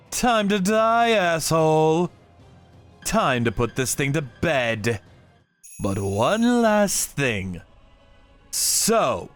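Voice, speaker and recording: A man's voice: on the high side, exaggerated voice. A man voicing a cold, mocking torturer in a cartoon story, drawing out his menacing taunts.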